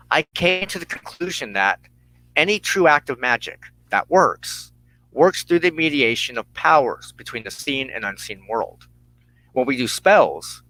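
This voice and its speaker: Man, mid-pitched, bright forceful tone, solemn religious teaching, measured and emphatic, deliberate pauses. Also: no echo, poor audio. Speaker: host of a religious broadcast. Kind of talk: spoken sermon, personal testimony and teaching.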